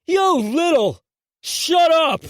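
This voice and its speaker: A cocky young man with a short fuse, pitched up and loud, as a male reader puts him on. The sound is dry and close. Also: sing-song, measured pace, dry recording. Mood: furious. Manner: smooth then hollering. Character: cocky young man who loses his temper.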